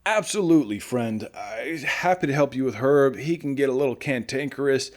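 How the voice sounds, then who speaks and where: A man's voice: mid-range voice, moderate pace, lightly acted. A man playing a friendly, earnest morning radio host in a post-apocalyptic audio drama, chatty and rambling. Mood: joking.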